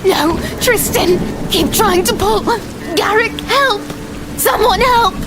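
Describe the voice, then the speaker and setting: A woman playing a brave, desperate young heroine in a dark fantasy audio drama, crying out urgently. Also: very high-pitched, slow. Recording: loud music and rain-like effects.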